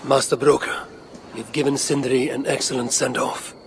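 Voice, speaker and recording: An actor playing a proud, scheming Norse god in a video game, speaking formally and persuasively. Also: male voice, medium pitch, little pitch movement, moderate pace, noisy background, lightly acted. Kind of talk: video game dramatic acting.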